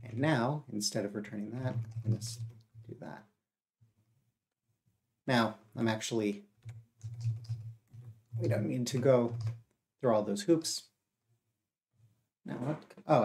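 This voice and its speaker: Man with a cold, gravelly voice. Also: medium pitch. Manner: steady explaining with frequent uh and self-corrections. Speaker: live coding streamer. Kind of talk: thinking aloud while live coding.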